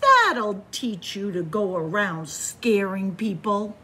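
A woman's voice: mid-range voice, very animated, slow, pushed and bright, slight background noise, exaggerated voice. A woman voicing a stern father pig who scolds, from a children's picture book. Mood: scolding.

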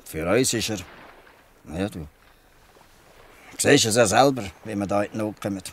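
An actor with a Swiss German accent playing a weary, good-natured old fisherman who explains things haltingly in a dialect audio drama. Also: lowish voice. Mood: wry, resigned.

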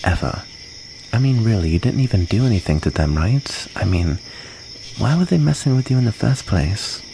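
A man playing a shy, wounded, gentle young outcast vampire in an ASMR comfort roleplay, speaking in a halting, soft-hearted way. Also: on the low side, sing-song, gravelly. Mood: concerned. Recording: noisy, cricket ambience behind.

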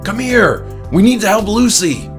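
A man voicing urgent, shouting young men in a horror audiobook. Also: sits high, expressive, moderate pace, faint music behind, pitched above the performer's own voice. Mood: urgent, alarmed.

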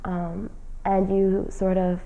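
A young woman from New Jersey talks about her plans in a relaxed, mellow voice, seated in an indoor lounge. She speaks earnestly and keeps pausing on "um" fillers. The sound is close and clean from a lapel mic. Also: medium pitch, low-quality recording. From New Jersey, United States. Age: young adult. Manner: casual, frequent "um" fillers, earnest. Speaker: graduating senior, fellowship recipient. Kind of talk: interview answers. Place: indoor lounge with plants and leather chair.

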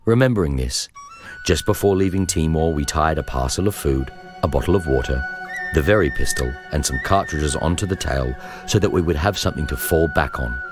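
A man reading a first-person memoir as scripted narration, with measured, deliberate phrasing. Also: rumbling low. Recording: music behind the voice. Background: music.

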